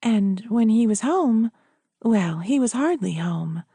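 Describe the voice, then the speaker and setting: A troubled young widow acted by a woman narrator speaks in a mellow, unhurried voice. She is guarded and hesitant, frank but holding herself back, as in a literary audiobook. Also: a little high, lively intonation, husky, dry recording, exaggerated voice. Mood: bitter, restrained.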